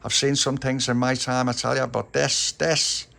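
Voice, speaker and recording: A man's voice: mid-range voice, level tone, normal speed, creaky, fairly bright tone, pitched above the performer's own voice. A man voicing a cheeky, knowing young boy. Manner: cheeky, teasing.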